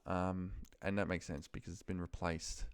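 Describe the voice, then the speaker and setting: A man's voice: sits low, flat delivery, moderate pace, smooth, no echo. A man thinking aloud in short muttered fragments as he walks through computer work on a screen recording, in an informal way. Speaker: office worker demonstrating a data-entry task.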